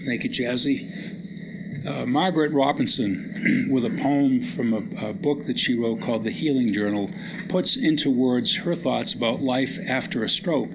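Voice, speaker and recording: A man giving a public lecture, introducing poems and readers in a halting, reflective manner. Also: medium pitch. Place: indoor public lecture event. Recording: noisy live lecture room recording.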